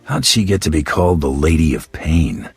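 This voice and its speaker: An actor playing a cocky, hard-bitten bounty hunter in a video game, terse and dryly sardonic, with a gravelly voice. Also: male voice, a bass voice, sing-song, normal speed, dry recording, faint music behind, heavily acted. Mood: terse, menacing. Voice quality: gravelly, raspy. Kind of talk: video game cutscene acting.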